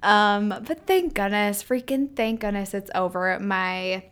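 A woman's voice: mid-range voice, takes it slowly, fairly bright tone, clear, resonant tone. A woman in her thirties hosting a solo podcast, chatting casually with lots of ums and likes, her voice a little nasal from a healing throat. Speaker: podcast host.

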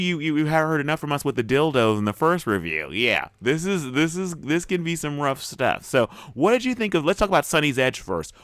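Animated male co-host, smooth and dry sound. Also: fairly high pitch, no room sound. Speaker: podcast co-host reviewing TV shows. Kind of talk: casual review chat.

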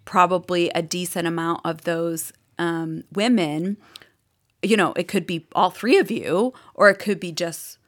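A woman with a Boston accent, a guest on a podcast, talking earnestly and openly, laughing easily and sometimes dropping into a soft whisper. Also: on the low side, measured pace. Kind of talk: casual interview chat.

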